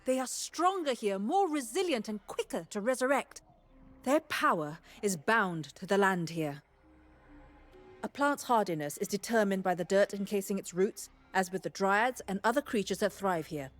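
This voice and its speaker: A woman's voice: mid-range voice, animated, normal speed, soft background music, close to an everyday voice. An actress playing a curious, analytical woman scholar in a fantasy video game, articulate and inquisitive. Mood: curious, earnest. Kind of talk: video game dialogue acting.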